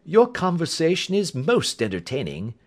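A man voicing a cool, witty gentleman detective in an audiobook, in a bland, urbane, quietly teasing manner. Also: a little high, sing-song, normal speed, voice pushed up. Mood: imperturbable teasing. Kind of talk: audiobook character acting.

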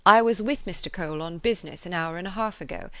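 A woman voicing a composed, wry, sensible gentleman in an audiobook, measured and matter-of-fact. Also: on the low side, clean tone, no room sound, natural voice. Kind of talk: audiobook character voice.

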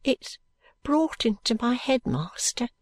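A weary, poor young mother with a soft, plaintive, hushed way of speaking and rough country grammar, as a woman puts her on for a storybook reading. Her voice sits high above the reader's own, close and dry. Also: very animated, slow, no room sound, full character voice. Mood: sorrowful.

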